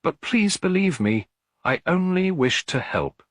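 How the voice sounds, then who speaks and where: Man acting elderly English lady detective, gentle, shrewd, slightly husky, storybook mystery. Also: high voice, sing-song, unhurried, dry recording, pitched above the performer's own voice, heavily acted. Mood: reassuring. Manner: soft, sympathetic, politely probing.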